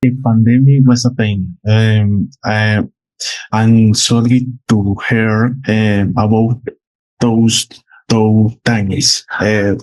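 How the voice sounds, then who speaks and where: A man hosting an interview, asking questions in a halting, hesitant way and often repeating words. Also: fairly low pitch, level tone, darkish tone, poor audio. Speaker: interview host.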